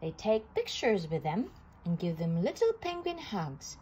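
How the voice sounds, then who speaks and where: Slow, lively woman reading a storybook. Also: medium pitch, slight background noise. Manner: slow, animated storytelling with occasional restarts. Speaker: storybook reader. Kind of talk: children's read-aloud.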